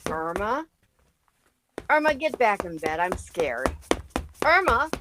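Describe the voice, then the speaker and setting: Wry, animated woman roommate, acted by a woman. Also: medium pitch, slow pace, noisy background. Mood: anxious. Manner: wry, practical. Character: sensible, wry female roommate with dry wit. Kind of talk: old-time radio comedy acting.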